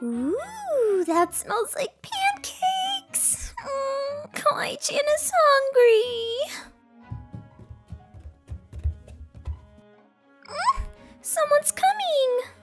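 A woman voicing a cute, excitable and sheepish young cat-girl with a squeaky, girlish voice in an animated roleplay. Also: extremely slow, heavily acted. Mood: excited, anxious. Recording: background music under dialogue.

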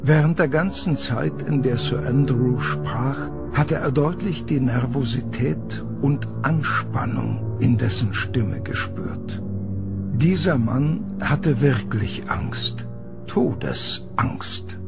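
An ominous male narrator in a horror radio play. He takes his time, with a gravelly fry in his solemn voice. Music plays clearly underneath. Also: medium pitch. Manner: deliberate, ominous. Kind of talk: scripted narration for German horror radio drama.

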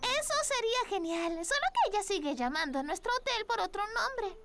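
A woman's voice: very high voice, sing-song, brisk, over loud music, exaggerated voice. A woman voicing a friendly, earnest little girl in a cartoon. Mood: hopeful. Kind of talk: animated cartoon voice acting.

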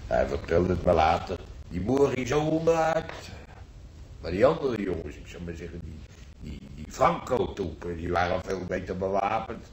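An actor playing a nostalgic, gruff old war veteran grandfather in a radio drama, telling his memories haltingly, repeating himself in earthy colloquial words. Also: low-pitched, sing-song, darkish tone. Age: older. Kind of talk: radio drama acting, reminiscing monologue. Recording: roomy old radio play, faint noise.

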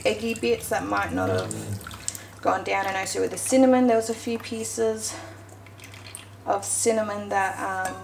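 Home-cooking vlogger, female, chatty and self-correcting, a touch of vocal fry, roomy kitchen sound. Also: medium pitch. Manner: chatty, self-correcting, talks while working with hands. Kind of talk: casual baking walkthrough. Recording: echoey kitchen with faint noise.

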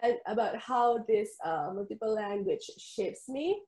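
A woman's voice: medium pitch, animated, unhurried. A young woman with an Indonesian accent, a novelist answering interview questions thoughtfully over a video call. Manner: soft, thoughtful, frequent ums and laughs. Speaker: novelist guest. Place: indoors room at home. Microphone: headphones on video call. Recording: echoey video call audio.

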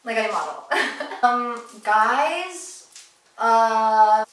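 A woman's voice: on the high side, animated, extremely slow, fairly bright tone, far from the mic, slight background noise, exaggerated voice. A vain, dim young blonde played by a woman in a comedy sketch drawls through a reality-show audition. Her delivery is affected and full of filler, recorded in a very echoey room, away from the mic. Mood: self-satisfied. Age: young adult. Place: indoor studio with plain backdrop.

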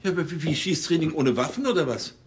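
A man's voice: on the high side, animated, quick pace, background noise, close to an everyday voice. An actor playing a self-important, flustered middle-aged policeman in a crime comedy, stammering and fussy. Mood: indignant.

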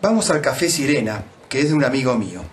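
A man's voice: medium pitch, very animated, fast, close to an everyday voice. An actor in his forties playing a talkative porteño psychologist and tango pianist in an audiobook, with a Buenos Aires Spanish accent. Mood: casual. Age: 40s. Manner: talkative, uses vos and che. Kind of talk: audiobook character reading.

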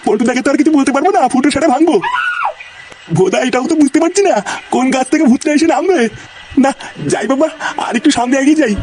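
A man puts on a brash, shifty cartoon villager with an extremely high voice. He blusters crudely and rattles along very fast, on a noisy recording. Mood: nervous grumbling. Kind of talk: Bengali comedy cartoon dubbing.

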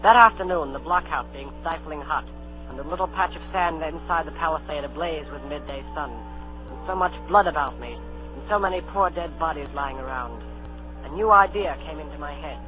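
Male actor as boy adventurer, earnest dramatic narration, high voice, tinny old radio sound, music underneath. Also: moderate pace, lightly acted. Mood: grave, steady.

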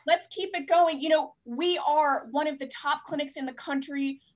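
A woman's voice: sits high, normal speed, background noise. A young woman hosting a live fundraiser over video call, effusively thanking donors by turn. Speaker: fundraising host. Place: indoors, plain room at home. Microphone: handheld mic on video call.

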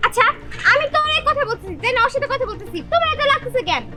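A woman's voice: extremely high pitch, animated, racing, heavy background noise. A woman voicing a spoiled, jealous and threatening chairman's daughter in a cartoon drama. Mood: jealous, angry.

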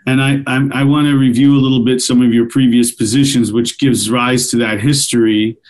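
A middle-aged man hosts a podcast interview over a video call from his clinic office. His voice sits at an ordinary pitch, and he leads the talk in a friendly, orderly way with a few filler sounds. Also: fairly even intonation, fairly quick. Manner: friendly, methodical, some fillers. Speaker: podcast host, retina surgeon. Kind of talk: interview hosting. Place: doctor's office.

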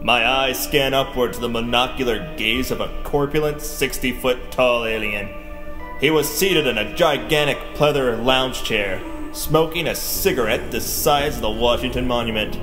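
A square-jawed sci-fi serial hero played by a man, earnest and grandly overblown. He has a mid-pitched voice, with prominent dramatic music behind him. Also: normal speed, natural voice. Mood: dramatic narration. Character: brave, pompous square-jawed space-adventure hero. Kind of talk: pulp radio serial parody with hardboiled narration.

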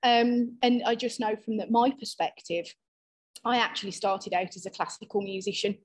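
A woman's voice: mid-range voice, moderate pace. A middle-aged woman hosting a panel discussion over a video call, talking with many fillers and restarts. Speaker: panel host.

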